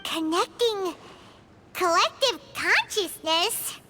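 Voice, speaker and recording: A woman voicing a small, excitable, childlike fairy companion with a squeaky voice in a video game. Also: takes it slowly, a little hiss or hum, heavily acted. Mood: surprised, puzzled.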